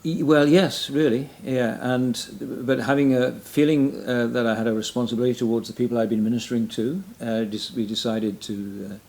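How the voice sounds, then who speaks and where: Gravelly older Welsh man. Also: medium pitch, steady tone, fairly quick, hardly any pauses, poor audio. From Wales. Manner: frequent backchannel mm and yeah, soft self-deprecating laughs. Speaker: retired pastor, guest. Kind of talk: reflective interview conversation.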